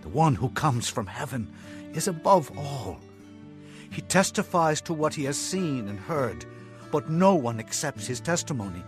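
Fervent prophet, declamatory, very animated intonation, scripture drama, music behind. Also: male voice, medium pitch, a little slow. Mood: fervent, exalted.

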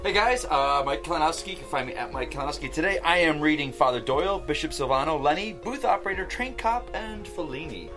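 A man's voice: a little high, expressive, fast talker, few pauses. Casual man in a studio, faint music. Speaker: cast member of a table read. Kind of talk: casual self-introduction. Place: small podcast studio. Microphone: close studio microphone.

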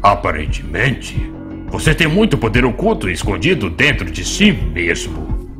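A man with a Brazilian accent voicing a hulking, taunting demon villain in an anime fan dub, with a mocking, menacing delivery. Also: low-pitched. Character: gloating hulking horned demon villain, adult male. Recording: loud music behind the voice.

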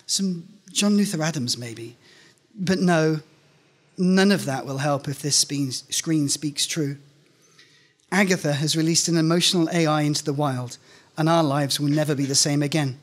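A middle-aged man reading a scripted first-person story aloud on stage into a stand microphone. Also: fairly high pitch. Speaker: reader of a short story at a live event. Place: indoor stage at a live reading event. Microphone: microphone on a stand, close in front.

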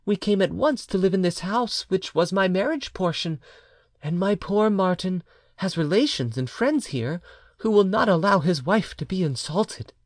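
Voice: medium pitch, lively intonation, moderate pace, no echo, clean studio sound, pitched above the performer's own voice, natural voice. An audiobook narrator voicing a proud, passionate young peasant mother in a historical storybook tale. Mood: indignant.

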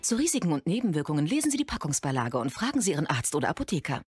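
A woman's voice: low-pitched, very animated, racing, a little mellow, breathy edge, faint music behind. A woman reading scripted advertising narration as a commercial voice-over.

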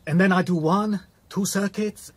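An actor playing an anxious, deferential stable groom in a radio drama, speaking halting, clipped broken English. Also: male voice, high voice, fairly even intonation, slightly rough, dry recording. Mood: nervous, deferential.

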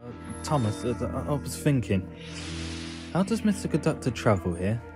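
Guy doing a naive little cartoon train, earnest, kind of hesitant, thinking out loud. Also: medium pitch, very animated, moderate pace, music in the background, close to an everyday voice. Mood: wondering. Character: naive, thoughtful small green male engine.

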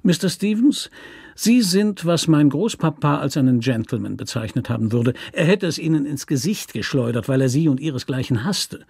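A man's voice: a little high, lively intonation, normal speed, vocal fry, a little mellow, husky, no room sound, clean studio sound, heavily acted. A man voicing a cynical politician, a state governor, condescendingly courteous and emphatic in an audiobook story.

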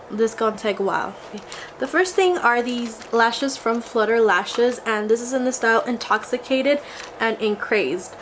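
A young woman in her twenties, a beauty vlogger, chatting casually and gushing over a haul, her voice a little scratchy from a cold. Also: fairly high pitch. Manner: gushing, enthusiastic, exclamatory. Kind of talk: casual makeup haul vlog. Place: small indoor room before a glitter backdrop.

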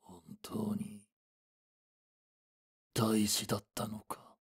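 Voice drama role: cryptic elderly man, acted by a man, very husky and breathy, dragging his words. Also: lowish voice, monotone, exaggerated voice. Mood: grave, probing. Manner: slow, grave, old-man phrasing. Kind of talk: Japanese voice drama acting.

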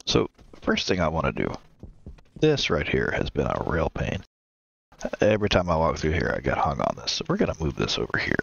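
A congested man with a deep, gravelly voice hosts a gameplay series, walking viewers through his projects in a relaxed way. He pauses often to fill in with uhs. The recording sounds slightly dull and lo-fi. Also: a little hiss or hum. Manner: relaxed, explains as he builds, many uhs. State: stuffed up, congested. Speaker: let's play host. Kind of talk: casual gameplay commentary.

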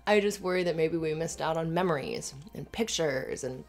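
A woman's voice: low-pitched, moderate pace, faint music behind. A woman playing an affectionate, earnest, slightly anxious live-in girlfriend in a conversational, rambling, hesitant ASMR roleplay. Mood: wistful, fond. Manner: rambling, hesitant, giggly asides. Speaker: audio roleplay performer.

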